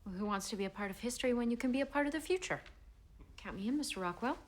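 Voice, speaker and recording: An actress playing a nervous, polite, eager young novice in a period TV drama, hesitant and deferential. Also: female voice, fairly high pitch, lively intonation, very fast.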